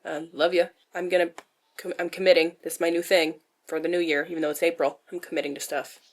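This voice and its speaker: A woman's voice: fairly low pitch, little pitch movement, fast. A young adult woman with a nasal voice answers questions in a casual vlog style, candid and self-deprecating, with dry jokes and swearing. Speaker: vlogger.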